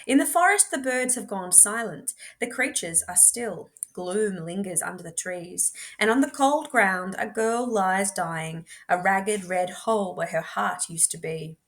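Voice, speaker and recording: Woman, mid-pitched voice, expressive read-aloud, measured storytelling, deliberate dramatic pauses. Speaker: teacher reading books aloud.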